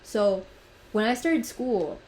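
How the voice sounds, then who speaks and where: A woman's voice: medium pitch, animated, takes it slowly, dark tone, a little hiss or hum, rough recording. A woman of nineteen whose first language is Spanish, hosting a casual podcast chat and rambling from topic to topic with many "like"s and "literally"s. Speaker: podcast host.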